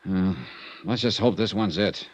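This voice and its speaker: An actor playing a brisk, skeptical American insurance investigator in a vintage radio detective drama, clipped and wry. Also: male voice, sits low, muffled recording. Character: hard-boiled male insurance investigator, brisk, skeptical, persistent. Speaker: investigator and first-person narrator.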